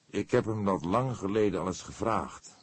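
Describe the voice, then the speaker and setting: A man voicing a calm, wry older male police inspector in an audiobook, with a measured, dryly ironic delivery. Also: deep voice, breathy edge. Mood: dry, casual.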